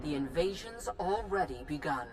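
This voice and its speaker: An actress playing an imperious, hawkish chancellor who speaks in a cutting, commanding way in a game cutscene. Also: female voice, low voice, expressive, normal speed. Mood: angry, commanding. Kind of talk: video game cutscene acting. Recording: faint background noise.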